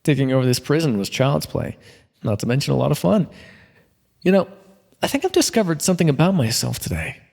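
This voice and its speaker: A man playing a smug, playful supervillain mind controller in an ASMR roleplay, coaxing the listener with theatrical, drawn-out words. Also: a little high, sing-song, creaky, a little mellow, heavily acted. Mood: gloating, pleased. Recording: long reverberant echo.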